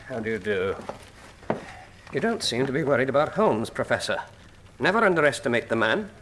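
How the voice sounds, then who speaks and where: An actor playing a blustering, anxious old soldier turned police chief in a radio drama. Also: male voice, mid-range voice, big pitch swings, brisk, background noise, natural voice. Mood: uneasy. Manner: gruff, agitated, anxious.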